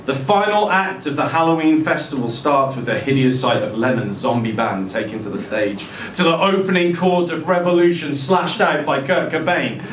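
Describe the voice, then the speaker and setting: A man gives a dramatic story reading at a live literary event. His voice is mid-pitched. It is picked up from a distance in a very roomy venue with faint noise. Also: expressive, moderate pace, few pauses, fairly bright tone. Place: indoor social room at a live event.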